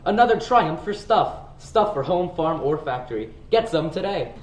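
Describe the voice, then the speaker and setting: A man's voice: on the high side, expressive, moderate pace, close to an everyday voice. Roomy old-time radio announcer played by a boy. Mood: hearty, selling. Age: teenager. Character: old-time radio announcer, hearty pitchman and mystery narrator. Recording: roomy, distant, clear background noise.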